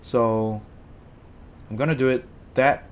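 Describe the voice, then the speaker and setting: A man's voice: mid-range voice, fairly even intonation, slow pace, a little hiss or hum. A young man from Malaysia chatting loosely with his live-stream viewers, rambling and correcting himself as he talks. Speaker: streamer answering chat. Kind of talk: casual live-stream chat.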